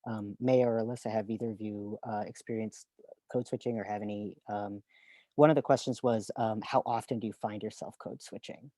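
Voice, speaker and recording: A man moderating a panel discussion, turning the floor to other panelists, speaking in a halting way while searching for words. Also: fairly low pitch, fairly even intonation, warm tone, no room sound.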